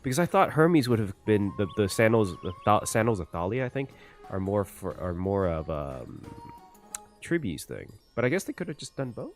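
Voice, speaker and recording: A man giving casual, excitable reaction commentary on a game, halting as he thinks aloud. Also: on the low side, soft background music.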